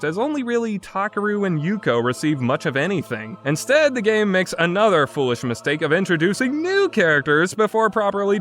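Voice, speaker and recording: A man's voice: fairly high pitch, a bit bright. A man narrating a scripted review as a critic, blunt, exasperated and opinionated in manner. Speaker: game reviewer.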